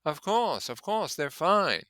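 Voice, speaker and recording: A man reading a storybook part as an anxious, lovesick rich gentleman, nervous and fretful. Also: on the high side, big pitch swings, takes it slowly, no room sound, studio quality, pitched above the performer's own voice, exaggerated voice. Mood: hollow, fretful.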